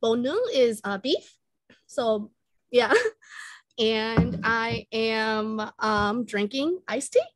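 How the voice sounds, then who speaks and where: A bubbly young woman talks as a guest on a casual show over a headset at home. Her voice sits a bit high, and she fills her talk with ums and giggles. The sound is dry and close. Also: takes it slowly, no room sound, rough recording. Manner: bubbly, filler-heavy, giggly. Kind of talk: casual online show chat. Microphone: headset, video call.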